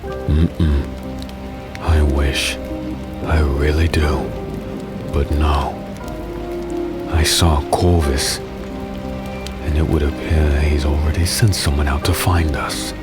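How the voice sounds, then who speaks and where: Man playing a grave, haunted fantasy swordsman, low-voiced. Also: level tone, over loud music. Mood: grim, haunted. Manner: grave, earnest, dramatic pauses. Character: grave, haunted swordsman husband, protective and urgent. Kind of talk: dark fantasy audio drama with first-person narration.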